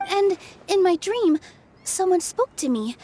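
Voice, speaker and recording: A woman playing a gentle, earnest young dancer turned forest fairy in a video game, speaking politely. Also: extremely high pitch, very animated, unhurried, full character voice. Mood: earnest, explaining. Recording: music playing behind the voice.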